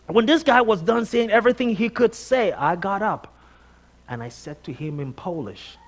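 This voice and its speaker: Male guest preacher, Nigerian accent, high-pitched voice, conversational sermon style with animated, emphatic storytelling. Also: moderate pace. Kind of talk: conversational sermon with personal anecdotes. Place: church sanctuary, on stage before a congregation.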